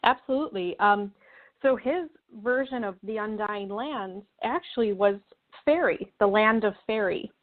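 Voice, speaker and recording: A woman speaking as an interview guest, explaining things earnestly and reflectively. Her voice sits at an average pitch. It comes through thin and tinny, as if over a phone call. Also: measured pace. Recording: tinny narrow-band phone or call line.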